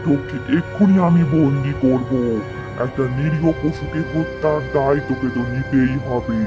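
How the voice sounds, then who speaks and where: A man's voice: mid-range voice, fairly even intonation, normal speed, natural voice. A man voicing a protective, stern village fakir in a children's cartoon, speaking in an authoritative, declamatory way. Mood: threatening. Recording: music behind the voice.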